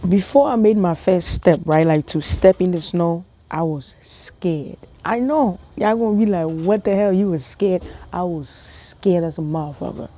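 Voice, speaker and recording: A young woman from Ghana telling a funny personal story to her viewers in a casual, animated way, often repeating herself and laughing. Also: lowish voice, a little slow, hardly any pauses. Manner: animated, repetitive, laughing, talks to viewers as family. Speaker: vlogger.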